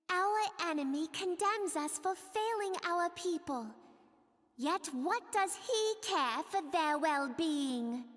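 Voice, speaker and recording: Very high-pitched, regal young sovereign. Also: female voice, fairly bright tone, full character voice. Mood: indignant, solemn. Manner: formal, composed, measured. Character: gentle, regal young female sovereign, earnest and resolute. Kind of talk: video game fantasy voice acting. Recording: long hall reverb.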